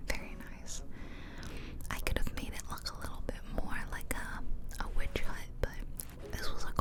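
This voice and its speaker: A woman speaking in a soft, breathy ASMR whisper close to the mic from ear to ear, rambling casually and gently over game footage. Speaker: ASMR video creator. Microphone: close ear-to-ear stereo microphone.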